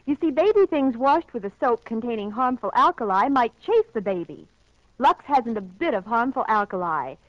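Old muffled radio ad, lady with highish voice, dry sound, explains things neatly. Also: sing-song, normal speed, no echo. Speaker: radio commercial presenter. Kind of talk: scripted 1940s radio ad dialogue. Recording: dry, dull 1940s radio recording.

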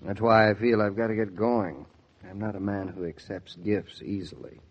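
A man's voice: fairly low pitch, fairly even intonation, normal speed, slightly rough, highs cut off. A man playing a wry, proud young husband and father in a 1940s radio drama. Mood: resigned, earnest.

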